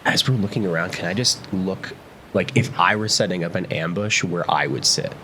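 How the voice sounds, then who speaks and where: A man's voice: deep voice, normal speed, sharp tone, slight background noise. A man chatting casually as a player in a tabletop role-playing game. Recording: prominent music bed behind voice. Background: background music score.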